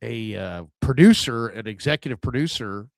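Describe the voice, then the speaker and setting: A man's voice: mid-range voice, expressive, moderate pace, creaky, dry recording. A man co-hosting a casual sports talk show. He sounds nasal and stuffed up from a cold, and he hesitates while searching for words.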